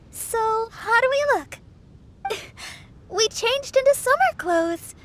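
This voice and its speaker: A woman voicing a sweet, cheerful teenage girl in an anime-style game, girlish and gentle, taking her time. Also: very high voice, animated, very slow, noisy background, exaggerated voice. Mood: playful. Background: soft game music.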